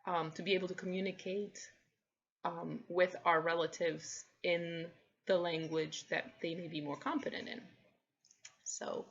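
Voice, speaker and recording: A young adult woman, a native Polish speaker from Poland, explaining thoughtfully in a casual talk to camera, often pausing with ums and restarting phrases. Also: fairly low pitch, gravelly, muffled recording. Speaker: vlogger, parent.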